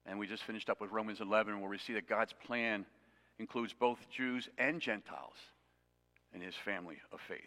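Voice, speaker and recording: A man's voice: on the low side, brisk, bright, forceful tone. A man preaching an expository sermon to his congregation, conversational with self-deprecating asides. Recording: long reverb of a hall.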